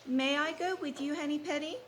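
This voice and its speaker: A woman voicing a curious, eager storybook rooster, with drawn-out crowing and questioning. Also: high voice, a bit bright, slight background noise.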